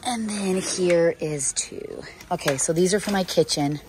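Chatty woman vlogger, low voice. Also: measured pace, background noise. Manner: gushing, chatty, talks to camera. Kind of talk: casual chatty vlog. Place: home kitchen. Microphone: phone held at arm's length.

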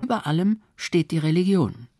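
A man's voice: a little high, very animated, moderate pace, darkish tone, no echo. A man reading scripted documentary narration as the narrator of an audio feature.

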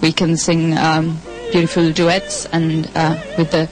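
Young singer, hesitant English. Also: female voice, deep voice, completely flat delivery, unhurried, low-quality recording. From Finland. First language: Finnish. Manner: hesitant, filler-heavy non-native English. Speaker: singer studying singing. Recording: interview with music behind.

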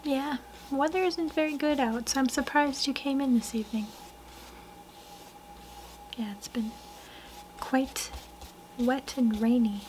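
A young woman doing a soft-spoken ASMR roleplay as a portrait painter, hushed and gentle, at times slipping into a whisper. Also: high voice, fairly even intonation, unhurried, pauses often, slight background noise, poor audio. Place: small wood-panelled room indoors.

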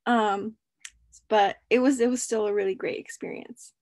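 A woman's voice: mid-range voice, steady tone, normal speed, a little mellow, dry recording. A young woman student, a native Spanish speaker, talking casually on a video call panel. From United States. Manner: casual, friendly, "um" fillers.

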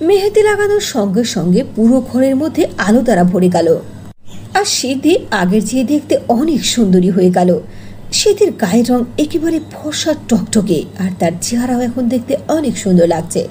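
A woman's voice: a little high, very animated, brisk, mellow. A woman narrating a scripted fairy tale as a storyteller. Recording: faint noise under voice-over.

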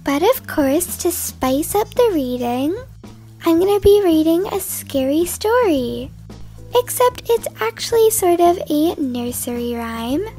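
Very high-pitched, cheerful schoolteacher played by a young-sounding female. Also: mellow, full character voice. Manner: upbeat, instructive, addressing young children. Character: cheerful, kind woman schoolteacher running a class party. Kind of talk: children's animated roleplay video voice-over. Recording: music behind the voice.

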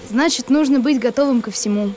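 A woman's voice: high voice, brisk, background noise, lightly acted. A woman voicing a sensible, serious little boy in a cartoon, earnest and matter-of-fact. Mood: serious, cautious. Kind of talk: animated cartoon acting. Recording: music behind the voice.

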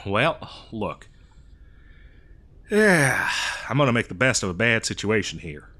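A man's voice: fairly low pitch, very animated, unhurried, sharp tone, heavily acted. Guy doing a folksy old west drifter, exasperated n rambly, voice swings up and down, comedy audio roleplay. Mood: coaxing, defensive. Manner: folksy, rambling, hesitant improvised delivery. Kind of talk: improvised comedic audio roleplay addressed to the listener.

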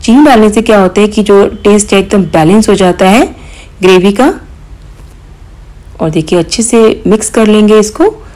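A woman hosting a cooking channel, calmly explaining each step of a recipe in voice-over, with occasional small hesitations. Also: medium pitch, normal speed, low-quality recording. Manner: calm step-by-step instruction with mild hesitations.

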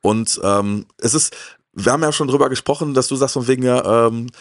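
A man's voice: mid-range voice, a little fast, no room sound. Casual man, dry close sound. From Germany. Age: early 40s. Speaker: host of a retro TV talk show. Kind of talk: casual chat, personal anecdotes. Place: indoor room with shelves of films. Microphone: handheld microphone.

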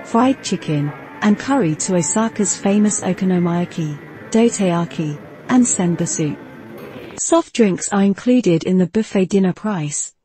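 A woman giving a scripted travel voice-over, touring a hotel in an even, informative reading tone. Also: medium pitch. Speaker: travel vlogger.

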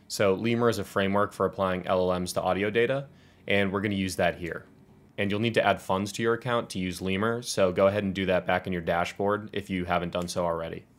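A man in his twenties with an American accent presenting a software tutorial in an explanatory, step-by-step way. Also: sits low, steady tone, fast. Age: 20s. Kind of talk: scripted software tutorial walkthrough.

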